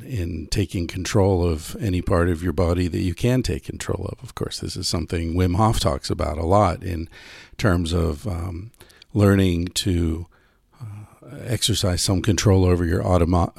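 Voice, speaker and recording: A podcast host with a very low, gravelly voice talks in a relaxed, musing way, full of little fillers. His tone is dark and mellow, and the recording is dry and close. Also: no echo. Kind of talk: casual podcast monologue with ad read.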